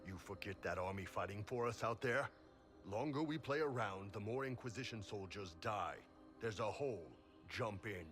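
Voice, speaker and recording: A man's voice: lowish voice, expressive, moderate pace, fairly bright tone. A man playing a practical, impatient warrior in a fantasy game, with a blunt, clipped way of talking. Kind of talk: fantasy game dialogue acting. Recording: music behind the voice.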